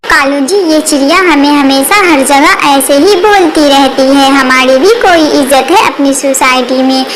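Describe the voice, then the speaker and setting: A woman's voice: high voice, unhurried, a bit bright, a little hiss or hum. A woman voicing a scheming, indignant female cartoon crow who wheedles and complains. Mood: aggrieved.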